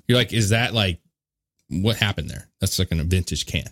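Animated low-voiced male podcast host. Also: normal speed. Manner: laid-back, swearing, chuckling asides. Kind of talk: casual podcast banter.

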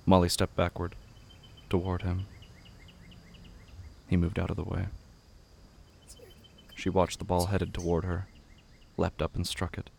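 A man narrating scripted horror fiction in a measured, somber, deliberate manner. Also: low voice, completely flat delivery, creaky, a little mellow, slightly rough.

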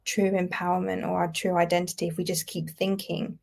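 Young British woman with creaky voice. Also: fairly low pitch, level tone, a little fast, a little mellow. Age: 20s. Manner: reflective, casual phrasing with frequent "like". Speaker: guest writer. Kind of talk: conversational interview.

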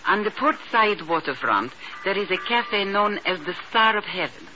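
Woman playing a haughty, scheming informant, animated delivery. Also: medium pitch, moderate pace, over music, close to an everyday voice. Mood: coldly determined. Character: proud, scheming, coolly threatening female informant. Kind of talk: 1950s radio drama acting. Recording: noisy, dull 1950s radio recording.

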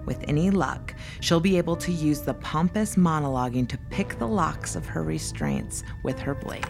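A woman's voice: deep voice, lively intonation, moderate pace, vocal fry, over music. A woman giving scripted, dramatic narration for an audio fiction story.

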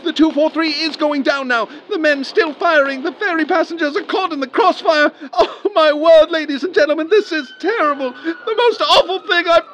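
High-pitched emotional radio news reporter. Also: male voice, moderate pace, few pauses, fairly bright tone, slight background noise. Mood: horrified, distraught. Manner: stammering, breathless, emotional. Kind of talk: 1930s radio live disaster commentary, acted.

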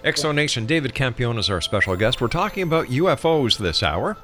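A man's voice: medium pitch, big pitch swings, a little fast, a bit bright, faint music behind. A man hosting a radio interview show and addressing listeners and his guest.

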